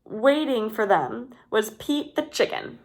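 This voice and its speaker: A woman reading a children's storybook aloud in an expressive, deliberate manner aimed at young listeners. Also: a little high.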